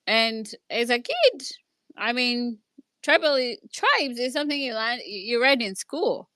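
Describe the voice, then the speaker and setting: Bright-voiced Kenyan woman telling a story. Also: on the high side, big pitch swings, a little slow, dry recording. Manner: hesitant, with many restarts and repeated words; earnest, speaks from her own experience. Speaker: speaker in an online audio discussion. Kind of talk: personal storytelling in a casual discussion.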